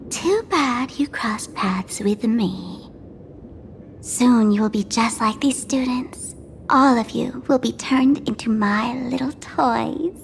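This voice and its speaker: A woman's voice: sits high, a bit bright, a little hiss or hum, heavily acted. A woman voicing a villainous female school dean in a video game, theatrical, falsely sweet and scheming. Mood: menacing. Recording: music behind the voice. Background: game music.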